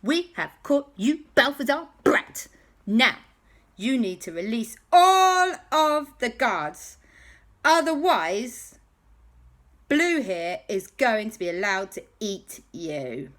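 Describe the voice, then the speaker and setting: Lady doing excited kid hero in a bedtime story, high n loud, bossy, very forceful. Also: takes it slowly, heavily acted. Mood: triumphant. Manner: exclamatory, emphatic. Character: excited young heroes, triumphant and cheerfully bossy. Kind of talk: children's story character voice.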